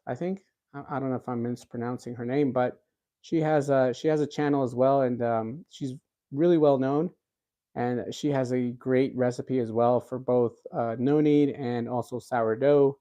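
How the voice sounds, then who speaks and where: A man's voice: medium pitch, warm tone. A man in his thirties hosting a cooking livestream, chatting casually with viewers and reading out their comments, rambling with lots of fillers and restarts. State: recovering from a cold.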